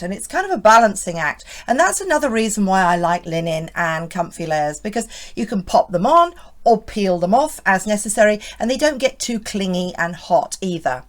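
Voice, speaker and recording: Hoarse woman, fifties, chatty style vlogger, lively up-and-down delivery. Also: mid-range voice. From United Kingdom. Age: 50s. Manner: chatty, reassuring, often asks the viewer "isn't it". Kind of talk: friendly scripted fashion vlog.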